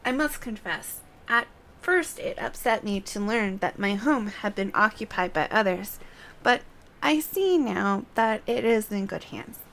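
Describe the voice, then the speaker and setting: A woman voicing a composed female scholar in a fantasy game, with measured, formal, old-fashioned phrasing. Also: mid-range voice, animated, gravelly, fairly bright tone.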